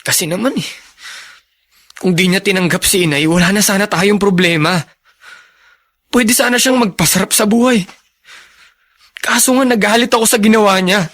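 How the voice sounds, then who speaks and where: A man's voice: sits high, moderate pace, pauses often, a bit bright. A young man with a Filipino accent acting in a radio drama as a brooding, resentful elder brother. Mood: resentful, stubborn. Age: young adult. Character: brooding, resentful young older brother, torn over father.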